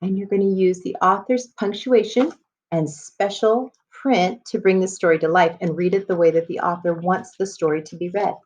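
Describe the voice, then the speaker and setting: A woman's voice: on the low side, fairly even intonation, moderate pace. A woman elementary school teacher leading a read-aloud lesson for children, explaining and addressing her listeners as she goes.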